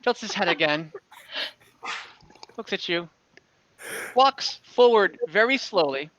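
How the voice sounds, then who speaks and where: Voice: medium pitch, expressive, takes it slowly, a bit bright, no room sound. A game master narrating an online tabletop roleplaying game in an improvised, conversational way. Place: online voice call. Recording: dull lo-fi online call audio.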